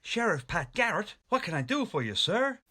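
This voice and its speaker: A drawling Western sheriff. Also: male voice, high voice, big pitch swings, normal speed, bright, forceful tone, heavily acted. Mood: gruff. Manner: drawling, folksy. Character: lazy small-town Western male sheriff.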